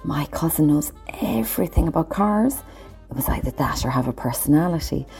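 A woman playing a sharp-tongued Irish agony aunt in a scripted comic advice column, sardonic and wisecracking. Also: low voice, animated, fairly quick, vocal fry, soft background music. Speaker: agony aunt answering letters.

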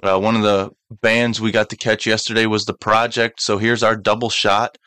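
A man's voice: on the low side, little pitch movement, moderate pace, bright, forceful tone, smooth, no room sound. A man filling in as a radio host, talking casually with many restarts and ums. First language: English.

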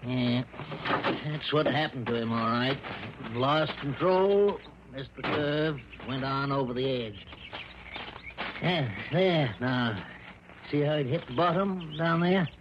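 An actor playing a cautious police chief in a radio drama, speaking in a deliberate, homespun drawl. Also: male voice, medium pitch, natural voice. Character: folksy, cautious small-town New England police chief. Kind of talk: 1950s-60s radio drama acting. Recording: dull, noisy old radio recording.

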